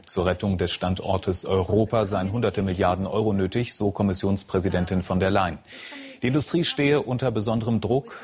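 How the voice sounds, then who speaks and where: A man's voice: sits low, darkish tone. A man with a standard German accent reading a formal, scripted news bulletin as an anchor.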